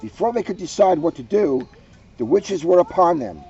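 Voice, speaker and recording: Higher-pitched playful man reading aloud. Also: big pitch swings, normal speed, gravelly, mellow, slightly rough, highs cut off, soft background music. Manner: playful, joking asides. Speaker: police officer reading a story aloud. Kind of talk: storybook read-aloud with chatty asides.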